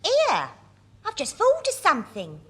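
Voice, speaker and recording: An actress playing an excitable, colloquial young English secretary in a British radio sitcom. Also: female voice, very high voice, a little slow, heavily acted. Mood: delighted, grateful. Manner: exclamatory, colloquial. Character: excitable, chirpy working-class young woman office secretary.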